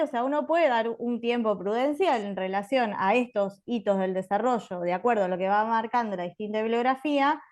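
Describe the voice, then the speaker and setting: Argentine woman presenter; explains step by step, filler-heavy delivery. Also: mid-range voice. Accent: Argentine Spanish. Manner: thinks aloud with many fillers. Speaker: presenter at a psychiatry conference. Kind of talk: explaining a clinical case.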